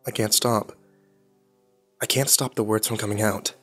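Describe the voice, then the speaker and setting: A man's voice: lowish voice, steady tone, normal speed, fairly bright tone, slightly rough. An actor playing a weary, haunted, cynical man who broods and swears through a first-person horror audio drama. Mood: dread, panic. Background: music behind the voice.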